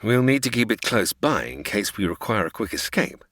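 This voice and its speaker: A scheming villain with big theatrical swings in pitch. The voice is recorded close and dry, in a comedy audio drama style. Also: male voice, very animated, moderate pace, a bit bright, dry recording, heavily acted. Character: scheming male villain Killer Kane, smug.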